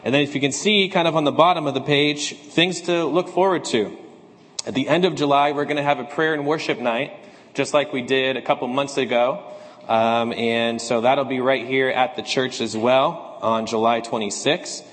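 Pastor, male, slightly hoarse, earnest and measured, mid-pitched, church hall reverb. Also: steady tone, fairly bright tone. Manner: earnest, measured, with fillers in announcements. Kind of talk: scripture reading, prayer and church announcements. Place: church sanctuary.

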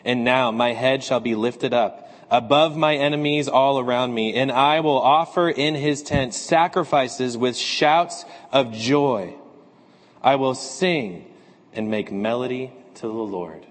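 A slightly hoarse man speaking as a pastor, reading scripture, praying and giving announcements in a measured, earnest way. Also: medium pitch, long reverb tail. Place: church sanctuary.

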